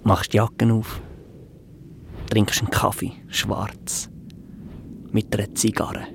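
Moody radio play monologue, creaky and breathy, super slow short phrases, dreamy, bit of ambience behind. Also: male voice, fairly low pitch, level tone. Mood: quiet, musing. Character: brooding man dreamily musing to himself about flight. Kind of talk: intimate radio drama monologue. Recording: clear ambient noise behind the voice.

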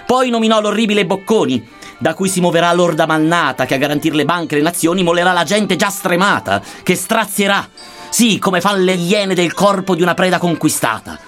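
A man with a slightly high voice recites old-fashioned narrative poetry. His delivery is solemn and declamatory, measured line by line, with music playing underneath. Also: a bit bright. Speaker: reader of a satirical verse narrative. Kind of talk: dramatic poetry recitation in archaic Dantean verse.

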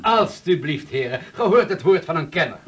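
A man's voice: on the high side, animated, moderate pace, lightly acted. A man playing an insistent merchant who urges and argues about sea routes. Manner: persuasive, urgent. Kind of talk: radio drama acting.

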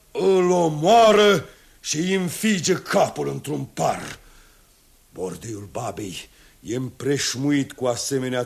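A man's voice: medium pitch, gravelly, a little mellow. An actor playing a father who tells his daughter a grim tale in a storytelling, teasing way, in a 1960s radio drama.

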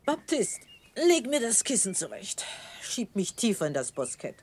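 An actress playing a severe, pious elderly marquise in a German radio play, haughty, dry and imperious. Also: female voice, medium pitch, sing-song, a little slow, husky. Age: older.

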